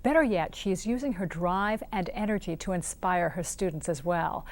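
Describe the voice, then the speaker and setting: A woman hosting a televised interview on a campus film set, lively and quick to laugh, often restarting her sentences. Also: lowish voice. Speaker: TV interview show host.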